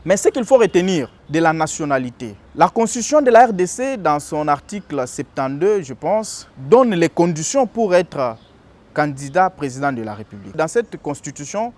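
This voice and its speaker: A man's voice: on the high side, sing-song, moderate pace, a little mellow. A man giving explanatory commentary on law, in a didactic way with frequent hesitations. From DR Congo. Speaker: political commentator.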